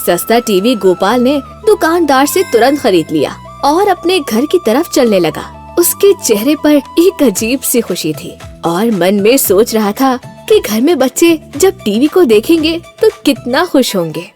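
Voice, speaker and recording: A woman narrating an animated horror story in a storytelling style. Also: high voice, unhurried, hardly any pauses, soft background music.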